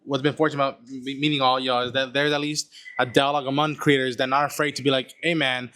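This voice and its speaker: A man's voice: medium pitch, level tone, quick pace, pushed and bright. A man who creates online content, speaking reflectively on a panel and searching for his words as he goes. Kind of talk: casual panel chat.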